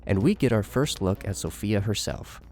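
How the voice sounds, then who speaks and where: A man's voice: on the low side, lively intonation, normal speed, darkish tone. A man giving scripted narration and commentary as a horror series commentator.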